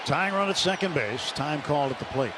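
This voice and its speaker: A man calling baseball play-by-play on the radio, building excitement on big pitches. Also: fairly quick. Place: ballpark broadcast booth. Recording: radio broadcast over loud crowd noise.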